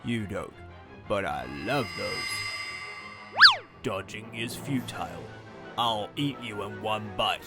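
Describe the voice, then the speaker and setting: An actor playing a sly, greedy animal villain in an audio drama. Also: male voice, lowish voice, slow pace, pauses often, loud music behind the voice. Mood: menacing, gloating.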